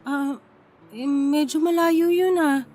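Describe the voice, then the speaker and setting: A woman's voice: sits high, fairly even intonation, takes it slowly, a little mellow, faint music behind. A woman playing a modest, practical young Filipina wife and mother in a radio drama, hesitant and questioning. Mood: doubtful, questioning.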